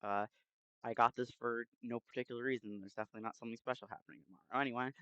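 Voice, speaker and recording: A man chatting casually and haltingly as he hosts a livestream, speaking into a headset mic. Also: mid-range voice, fairly even intonation, fairly bright tone, no room sound, muffled recording. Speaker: game streamer, host. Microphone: new headset microphone.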